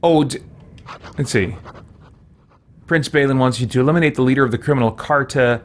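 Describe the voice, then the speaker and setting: A man's voice: medium pitch, fairly quick. A man streaming a video game, commenting casually and thinking his way through it aloud.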